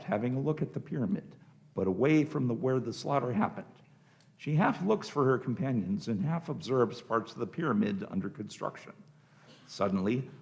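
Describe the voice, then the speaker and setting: Gravelly-voiced male radio drama narrator. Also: medium pitch.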